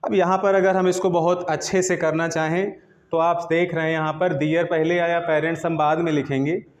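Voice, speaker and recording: A man with a fairly high voice and an Indian accent speaks as a grammar teacher. He explains step by step, repeats key phrases and poses short questions before answering them. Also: little pitch movement, normal speed. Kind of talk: classroom lesson, explaining step by step in Hindi mixed with English terms.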